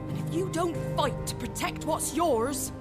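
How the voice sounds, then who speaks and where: An actress playing a fierce, earnest young woman rallying villagers with deliberate, impassioned delivery. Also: sits high. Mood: impassioned, urging. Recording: loud music under the voice.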